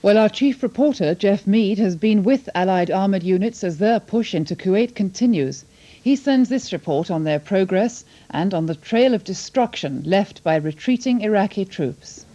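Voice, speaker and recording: Mellow female newsreader. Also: mid-range voice, animated, normal speed, lo-fi sound. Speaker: news reader. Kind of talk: scripted news reading. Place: television news studio.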